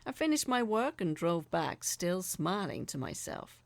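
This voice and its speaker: A woman's voice: on the low side, big pitch swings, a little slow, vocal fry, no room sound. A woman reading a first-person story as a dramatised narration.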